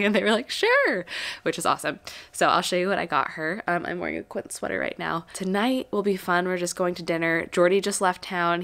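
A young woman vlogging at home, talking to the camera in a bubbly, chatty way, her nose a bit stuffed up. Her voice sits fairly low. The room around her sounds bare and echoey. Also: a bit bright. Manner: chatty and bubbly, laughs between phrases. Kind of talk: casual vlog talk to camera. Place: home living room and bedroom.

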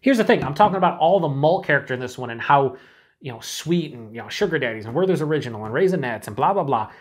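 A middle-aged man reviewing a beer alone to camera in a small room. He talks in a chatty, excited way, swears freely and sometimes drops to breathy whispers. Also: mid-range voice, quick pace. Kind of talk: casual solo review to camera.

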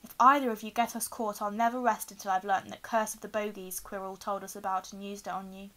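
An impatient storybook schoolboy, acted out by a woman. He is loud, blunt and grumbling, with a curt edge to his words. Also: mid-range voice, flat delivery, fast talker, lightly acted. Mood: threatening.

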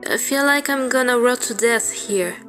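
Woman as a high-voiced young anime girl. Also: moderate pace, sharp tone, soft background music. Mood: homesick. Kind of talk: amateur anime comic dub acting.

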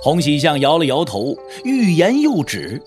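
Male narrator, dramatic scripted storytelling, highly animated intonation, slightly high voice, music underneath. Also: measured pace. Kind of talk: dramatic scripted narration of a martial arts novel.

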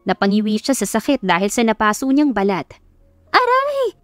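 A woman reads a dramatic love story as a scripted audiobook. Her pitch is average for a woman. The recording is dry, with faint music behind her. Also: quick pace, no echo. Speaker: audiobook narrator.

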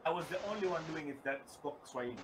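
Fast-talking young Kenyan man on a call. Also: fairly high pitch, recorded from a distance, noisy background. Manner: animated, gestures with hands while telling his story. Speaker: guest gamer YouTuber. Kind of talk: casual chat. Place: small room at home, indoors. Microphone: headset on a video call.

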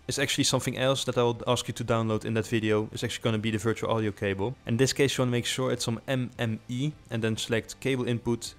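A man with a low voice giving a casual software tutorial, close on a desktop mic with a pop filter, explaining quickly with filler words. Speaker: tutorial host. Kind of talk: screen-recorded software tutorial. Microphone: desktop USB condenser mic with foam and pop filter.

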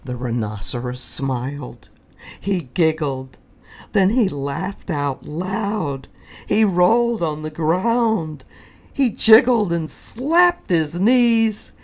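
A woman narrates a children's picture book with theatrical pauses. Her voice is low and creaky at times, very unhurried, with wide expressive swings. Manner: deliberate, dramatic pauses for children. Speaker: storybook reader.

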